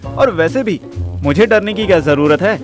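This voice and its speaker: High-pitched jumpy young son. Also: male voice, expressive, normal speed, faint music behind. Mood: nervous. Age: young adult. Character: jumpy, curious young man, cheeky son. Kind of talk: Hindi audio drama acting.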